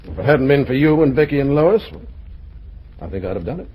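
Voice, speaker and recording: An actor playing an earnest, gently reproachful middle-aged father in an old-time radio drama. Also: medium pitch, animated, brisk, muffled recording, a little hiss or hum, natural voice. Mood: grave, confiding.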